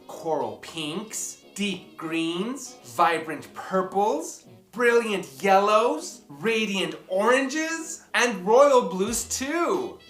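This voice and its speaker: Animated woman reading a children's story. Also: mid-range voice. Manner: animated, theatrical, dramatic pauses and emphasis. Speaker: picture book reader. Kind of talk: expressive children's story read-aloud. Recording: roomy echo, music behind.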